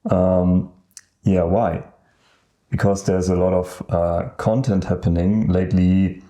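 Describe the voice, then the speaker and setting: Slow, deep German man chatting. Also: level tone, dark tone. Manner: hesitant, many ums and pauses. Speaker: podcast co-host. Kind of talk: casual chat.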